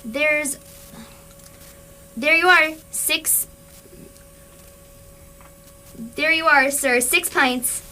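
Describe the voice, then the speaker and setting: A woman's voice: high-pitched, very slow, pushed and bright, slight background noise, heavily acted, rough recording. A woman playing a chatty, deferential pub barman in an amateur radio comedy, full of polite small talk. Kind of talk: amateur radio theatre acting.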